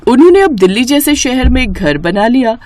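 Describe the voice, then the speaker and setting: A woman's voice: a little high, sing-song, fairly quick, a little mellow. A woman narrator reading a scripted children's moral story.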